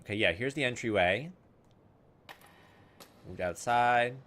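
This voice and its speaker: A man doing casual gameplay commentary, thinking aloud in an informal, self-deprecating way and reading game text out loud. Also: medium pitch, measured pace. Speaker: gaming video host.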